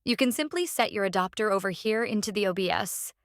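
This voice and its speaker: A woman narrating a casual software tutorial, explaining step by step in a halting way and repeating phrases. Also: medium pitch, lively intonation, dry recording, clean studio sound. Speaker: tutorial presenter.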